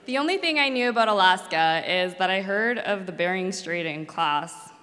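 A woman's voice: mid-range voice, lively intonation, moderate pace, bright, forceful tone. A woman from Mexico, a native Spanish speaker, earnestly telling a personal story as a former student. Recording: long hall reverb.

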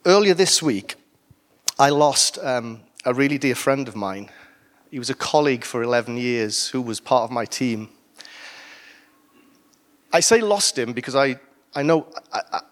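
A man's voice: medium pitch, animated, normal speed. A man from Wales with a Welsh accent, preaching a sermon in a conversational way with humorous asides.

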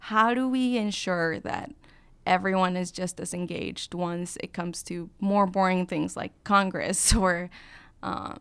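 Smooth-voiced woman podcast co-host. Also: fairly low pitch, fairly even intonation, unhurried. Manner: warm, laughing, chatty. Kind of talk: casual podcast chat.

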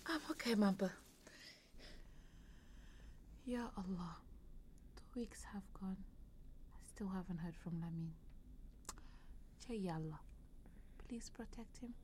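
A woman's voice: mid-range voice, a little slow, long pauses, darkish tone, slight background noise, natural voice. An actress with a Senegalese accent playing a weary, worried, devout mother and grandmother in an audio drama. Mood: frail, worried. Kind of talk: radio drama acting.

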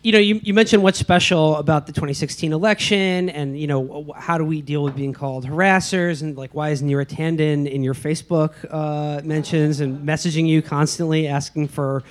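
A man's voice: a little high, fairly even intonation, fairly quick, few pauses. A man, a podcast host, speaking as a guest on a live panel, laying out a point earnestly and thoughtfully. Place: live show before an audience.

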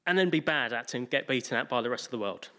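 Mid-pitched man in a theatre audience. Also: animated, quick pace, bright, forceful tone. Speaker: audience member asking a question. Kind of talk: jokey live question, laughing.

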